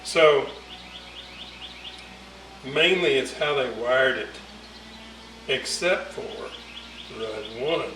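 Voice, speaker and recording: Slow-talking man, distant in a roomy space. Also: medium pitch, lively intonation, sharp tone. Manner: hesitant, thinking aloud in broken phrases. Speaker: hobbyist radio restorer presenting a repair series. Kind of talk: casual unscripted technical explanation. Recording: roomy, distant mic, clear ambient noise.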